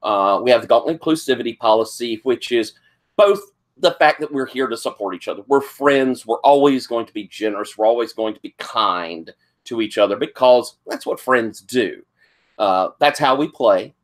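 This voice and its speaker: A hoarse, slightly sniffly man serves as game master for a tabletop roleplaying game. He talks casually and rambles, stopping often on fillers. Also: medium pitch. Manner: rambling, halting with many fillers.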